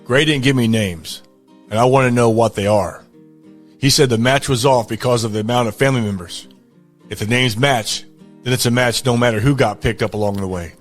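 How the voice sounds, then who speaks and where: A man's voice: medium pitch, brisk, soft background music, natural voice. An actor playing a curt, commanding man who demands loyalty, blunt and authoritative, in an audio drama. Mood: cold, controlling.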